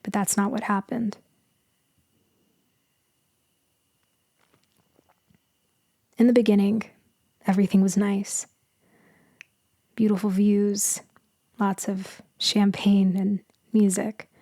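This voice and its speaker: A woman playing a gentle, melancholy immortal female vampire in a sleep ASMR roleplay, speaking softly and intimately, often slipping into a whisper. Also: medium pitch, little pitch movement, no echo, studio quality. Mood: nostalgic. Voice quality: soft, breathy, often whispering. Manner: slow, hushed, wistful, intimate.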